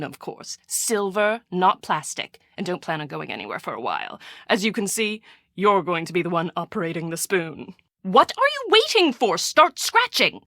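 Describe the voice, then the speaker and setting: A woman's voice: medium pitch, a bit bright, no room sound, clean studio sound. An actress in a video game playing a pampered, imperious rich woman who dotes on her little dog, speaking theatrically and giving orders. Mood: demanding, impatient. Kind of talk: scripted video game voice acting.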